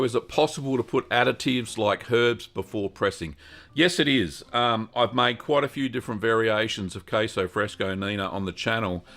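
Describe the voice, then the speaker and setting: A man's voice: on the low side, sharp tone. An older man hosting a live-stream Q&A, talking in a rambling, friendly way with lots of ums. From Australia. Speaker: host answering cheese-making questions.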